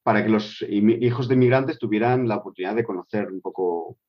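A middle-aged man speaks in a fairly low voice, answering interview questions in a relaxed, halting way full of fillers and restarts. The recording sounds dull and lo-fi. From Switzerland. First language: Spanish with German. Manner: hesitant, many fillers and restarts.

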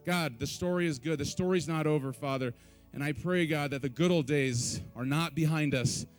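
A man's voice: fairly high pitch, moderate pace, soft background music. A man leading a church service, urging the congregation with call-and-response lines and joking asides, then praying. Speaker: worship leader. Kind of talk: church stage exhortation and prayer. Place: church sanctuary.

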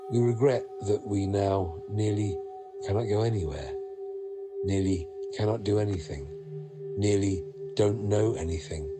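Slow, low-voiced man reciting spoken word. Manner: measured, deliberate phrasing. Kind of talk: spoken-word monologue, poetic and repetitive.

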